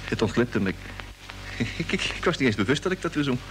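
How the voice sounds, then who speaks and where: A man's voice: mid-range voice, very animated, fairly quick, background noise, natural voice. A man acting a plain-spoken, curious crewman in a vintage radio serial, colloquial and easily flustered. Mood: flustered, defensive. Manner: colloquial, stammers when flustered. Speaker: radio drama actor. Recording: old radio play with music underneath.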